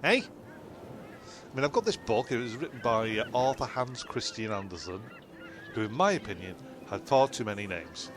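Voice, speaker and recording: A man's voice: medium pitch, very animated, normal speed, background noise, natural voice. A man playing a cheeky, dim castaway who boasts and rambles in an ad-libbed comedy audio sketch. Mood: indignant. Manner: rambling, ad-libbing. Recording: music behind, blown-out homemade audio.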